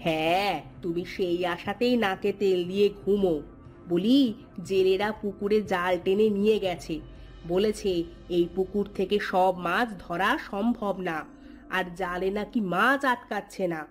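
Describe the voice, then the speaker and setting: A woman voicing a sharp-tongued landowner's wife in a cartoon, scolding with pointed, sarcastic questions. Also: medium pitch, steady tone, unhurried, faint music behind, natural voice. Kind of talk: Bengali cartoon voice acting.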